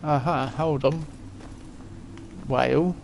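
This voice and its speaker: A man's voice: fairly high pitch, steady tone, takes it slowly, creaky, a little mellow, slight background noise. A man in his forties giving casual live-stream commentary as he plays, chatting and thinking aloud. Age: 40s.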